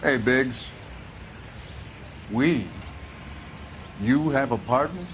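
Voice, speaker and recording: An actor playing a sardonic, world-weary arson investigator in a crime game, blunt and wry. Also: male voice, mid-range voice, drawn-out words, fairly bright tone, a little hiss or hum. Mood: sardonic, matter-of-fact. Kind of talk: dramatic game cutscene acting.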